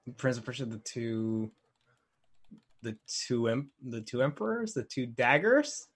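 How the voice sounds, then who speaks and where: A man's voice: mid-range voice, very animated, unhurried, husky. A man co-hosting a podcast, bantering in an informal, playful way. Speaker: podcast co-host. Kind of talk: casual podcast banter about games and films.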